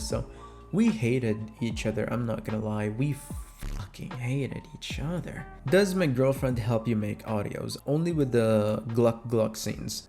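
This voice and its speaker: A man's voice: medium pitch, darkish tone. A young adult man chats casually and informally as an audio creator answering fan questions, close on the microphone. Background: music.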